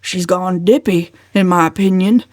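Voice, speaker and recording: A woman's voice: fairly low pitch, steady tone, normal speed, darkish tone, no room sound, natural voice. A self-important older uncle from a storybook, acted out by a woman, jovial one moment and indignant the next. His talk has a growling edge and a pompous manner, and the sound is dry and close. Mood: outraged.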